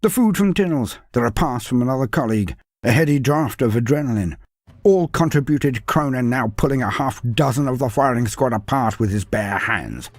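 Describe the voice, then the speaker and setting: A man narrating a scripted fantasy tale in a theatrical, deliberate storytelling manner with florid phrasing. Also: mid-range voice, expressive, no room sound.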